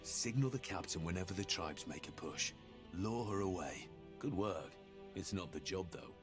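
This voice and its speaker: A gruff, loyal monster hunter from a fantasy game. His voice sits low, with a weary, caring temper. Prominent music plays underneath. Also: expressive, moderate pace. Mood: dry, approving. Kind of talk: video game voice acting.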